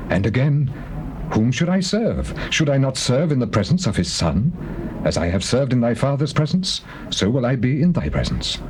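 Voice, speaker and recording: An actor playing a wily courtier professing loyalty in a biblical drama, with emphatic oratory on an old tape. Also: male voice, mid-range voice, darkish tone. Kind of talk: dramatized scripture, theatrical. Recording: faintly noisy old tape.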